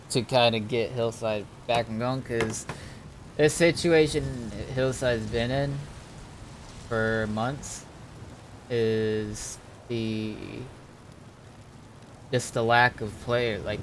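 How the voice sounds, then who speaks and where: Man thinking aloud, noisy background. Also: medium pitch. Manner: halting, deliberating, mulling things over. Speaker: streamer and roleplay gamer. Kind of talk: casual game stream talk, thinking aloud.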